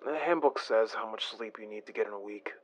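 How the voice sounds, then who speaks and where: A man's voice: fairly low pitch, brisk, muffled recording, lightly acted. A man playing a polite, friendly former agent on night shift in an audio drama, respectful and a little hesitant. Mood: friendly, concerned. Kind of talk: naturalistic audio drama dialogue.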